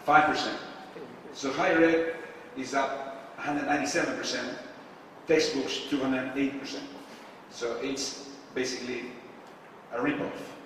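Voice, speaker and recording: A man's voice: mid-range voice, steady tone, a bit bright, a little hiss or hum. Man speaking from far off in an echoey hall. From Argentina. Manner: casual, frequent filler pauses, asks the audience questions. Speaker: conference speaker. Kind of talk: live presentation to an audience. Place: event space with a live audience. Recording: echoey hall, distant mic.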